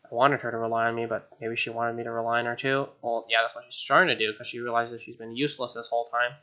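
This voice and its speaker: A man's voice: mid-range voice, little pitch movement, quick pace, pushed and bright, lo-fi sound. A man hosting a let's play, reading game text expressively with wry, casual asides.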